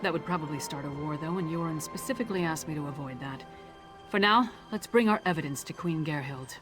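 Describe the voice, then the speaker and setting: A woman's voice: sits low, fairly quick, natural voice. Woman acting fantasy game warrior woman, blunt, plain-spoken, hot-tempered, dutiful, music underneath. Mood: determined. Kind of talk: scripted video game dialogue.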